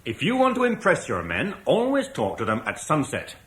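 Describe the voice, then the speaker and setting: An actor playing a seasoned, confident war leader in a vintage radio drama. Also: male voice, a little high, wildly swooping pitch, normal speed, fairly bright tone. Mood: wry. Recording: distant roomy echo with faint hiss.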